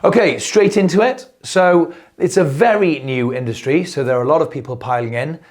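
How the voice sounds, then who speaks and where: A man's voice: a little high, moderate pace. A middle-aged man hosting a crypto video, talking off the cuff as he loses his voice, rambling and repeating words with many fillers. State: losing his voice. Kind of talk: unscripted explainer monologue.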